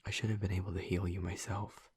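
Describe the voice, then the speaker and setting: A man playing a gentle, earnest vampire boyfriend in an intimate audio roleplay, speaking softly and haltingly in a husky, breathy, hushed voice. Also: deep voice, level tone, no echo. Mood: earnest, resolute. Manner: halting, soft-spoken, confiding with long pauses. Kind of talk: intimate audio roleplay, ASMR-like soft delivery.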